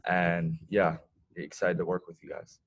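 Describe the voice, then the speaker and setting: A teenage boy from Germany, a student organizer, talking in a relaxed, upbeat way with fillers on a video call. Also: low voice, unhurried, lo-fi sound. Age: teens. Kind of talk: casual video call meeting.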